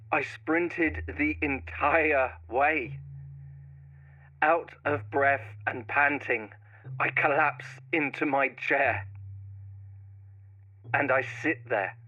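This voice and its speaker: A man playing a brooding, obsessive horror writer who turns menacing in a slow, deliberate first-person scripted monologue with dramatic pauses. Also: medium pitch, dry recording, lo-fi sound. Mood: haunted.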